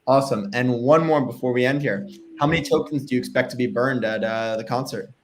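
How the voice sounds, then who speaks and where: A man's voice: mid-range voice, a little hiss or hum. A young man hosting a live interview over a video call from home, upbeat and chatty with casual fillers. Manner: upbeat, fillers, quick reactions. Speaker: livestream host. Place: home room with framed pictures.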